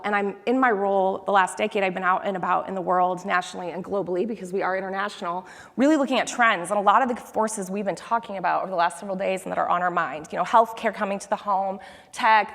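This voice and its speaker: A woman's voice: medium pitch, vocal fry. A woman moderating a conference panel, chatty and upbeat, addressing the audience from the stage. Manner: upbeat, chatty, quotes lines playfully. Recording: long reverb of a large hall.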